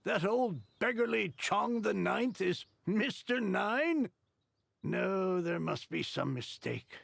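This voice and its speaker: A man voicing a flustered, self-deprecating amateur novelist in a video game, exasperated, sarcastic and theatrical, with a creaky voice. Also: high voice, lively intonation, takes it slowly, a bit bright, no echo, exaggerated voice. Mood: rueful.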